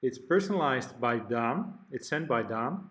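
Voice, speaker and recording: A man talking through a software product demo one step at a time. His voice sits at a middle pitch and moves along in a plain, explanatory way. Now and then he stumbles and restarts a word. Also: expressive, unhurried. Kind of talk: software walkthrough narration.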